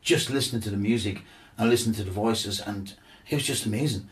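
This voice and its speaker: A man's voice: fairly low pitch, fast talker. Rambling enthusiastic man, mic a bit far off. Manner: enthusiastic, rambling with repeated words. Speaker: guest musician. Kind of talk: casual chat.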